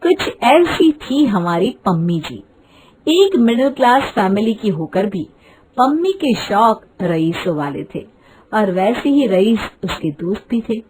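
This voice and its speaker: A woman's voice: medium pitch, big pitch swings, slow, darkish tone, slightly rough, poor audio. A woman reading scripted narration of a moral story as a storyteller.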